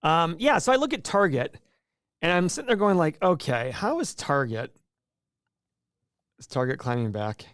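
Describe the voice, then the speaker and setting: Chatty man with a slightly high voice. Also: sing-song, moderate pace, long pauses, a bit bright, no room sound. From Iraq. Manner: rambling and chatty, repeats words and acts out his own thoughts. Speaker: co-host of a stock market livestream. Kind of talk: casual investing talk on a livestream.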